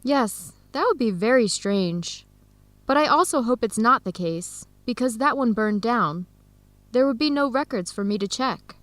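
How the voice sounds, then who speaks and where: A hopeful young woman in a radio drama. She speaks sincerely and deliberately in a fairly high voice, recorded dry without room echo. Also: expressive, a bit bright. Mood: earnest. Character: hopeful young woman adoptee searching for her birth family. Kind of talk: educational radio drama acting.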